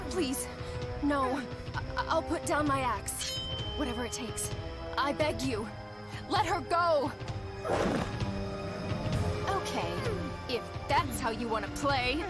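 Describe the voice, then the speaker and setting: A young orphan heroine from a fantasy video game. She has a somewhat high voice and measured, slow delivery, theatrical and full of fierce defiance, over prominent music. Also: expressive. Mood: pleading. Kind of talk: dramatic video game voice acting.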